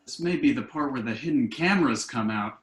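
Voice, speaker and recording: A man's voice: mid-range voice, expressive, fairly quick, close to an everyday voice. A man playing a skeptical, probing family member with dry wit in a play reading, recorded in an echoey room. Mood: dry, suspicious. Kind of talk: virtual stage play table read.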